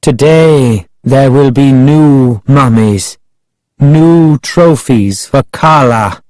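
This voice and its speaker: Guy doing a creepy zealot guarding an old curse, slow n solemn, proclaiming stuff like a storybook villain. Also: mid-range voice, darkish tone, dry recording, voice pushed up. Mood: cold, proud. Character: menacing fanatical male guardian of an ancient curse. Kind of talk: audiobook character acting.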